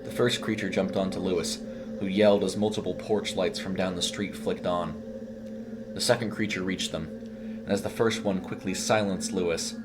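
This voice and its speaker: Very deep-voiced man narrating horror. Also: fairly even intonation, moderate pace, heavy background noise. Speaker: audio story narrator. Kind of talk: scripted horror story narration.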